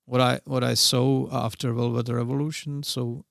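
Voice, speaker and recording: A man's voice: medium pitch, little pitch movement, moderate pace, creaky, no echo, studio quality. A middle-aged man with a Czech accent, a podcast guest talking in casual interview chat, hesitant and full of fillers and broken phrasing.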